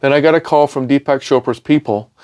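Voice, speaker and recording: A man's voice: medium pitch, a little fast, a little mellow. A middle-aged man with a British accent, a studio engineer chatting informally as an interview guest, peppering his stories with "man" and "you know". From born in Milan, grew up in England and Scotland. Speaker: podcast guest, recording engineer and studio owner. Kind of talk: casual interview storytelling. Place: recording studio.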